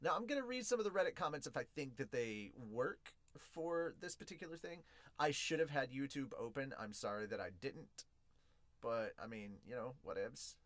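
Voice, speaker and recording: A man hosting a podcast in an excitable, informal, slangy way, chatting casually as he reviews and reads out comments. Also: mid-range voice, normal speed, no echo.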